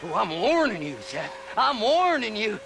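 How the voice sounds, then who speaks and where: Nutty old west grave robber, super high creaky southern voice, mocking and manic, game cutscene w/ music. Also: male voice, sing-song, normal speed, heavily acted, low-quality recording. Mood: taunting. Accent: American Southern. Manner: manic, taunting, swinging between mutters and shrieks. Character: crazed grave-robbing treasure hunter, gleefully unhinged western eccentric. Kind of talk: video game western acting.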